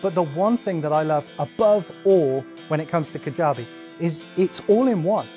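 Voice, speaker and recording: A man's voice: on the high side, animated, normal speed, mellow. A man from England telling his story as a business owner in a promotional testimonial. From England, near London. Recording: music bed under the voice. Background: music.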